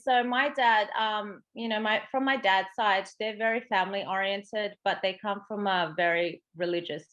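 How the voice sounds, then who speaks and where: A woman's voice: fairly low pitch, fairly bright tone, highs cut off. A woman with an Australian accent talking as an interview guest, chatty and explanatory, often checking in with "right" and "you know". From Sydney, Australia. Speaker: interview guest, psychic medium and coach. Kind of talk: casual interview conversation.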